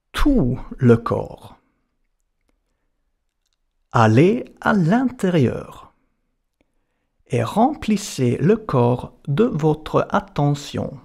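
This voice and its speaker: Very slow, creaky man guiding relaxation. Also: fairly high pitch, a little mellow. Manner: measured, instructional, with short separated phrases. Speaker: yoga and meditation teacher. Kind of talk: guided relaxation narration.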